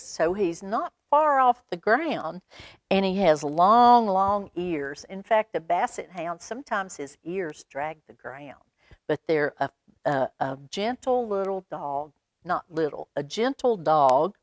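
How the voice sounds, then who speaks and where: An American woman with a slightly low voice reads a picture book to young children. She takes her time, pausing often and adding expressive asides, and the sound is close and dry. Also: no room sound. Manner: expressive, unhurried, with pauses and exclaimed asides. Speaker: storytime reader at a library.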